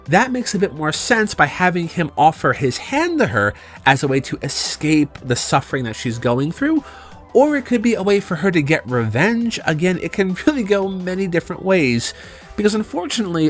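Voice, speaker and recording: A man with a slightly high voice narrates a game review as a channel host. His pitch moves around in a lively, animated way, and he leans on key words in a conversational, semi-scripted delivery. Music plays faintly behind him. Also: normal speed. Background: background music.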